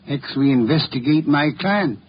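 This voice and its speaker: An actor playing an imperious, lazy genius detective in a 1950s radio drama, speaking formally and deliberately with haughty grumbles. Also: male voice, a little high, animated, breathy edge. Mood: pondering, grumbling. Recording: muffled, hissy old radio recording.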